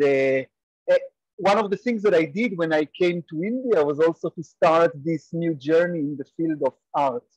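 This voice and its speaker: Brief: Israeli man, 40s, guest professor, earnest and measured, slightly high voice, dry close sound. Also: a little mellow, no echo, dull audio. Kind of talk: webinar interview answer. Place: home room on a video call, virtual background. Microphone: earphone mic on a video call.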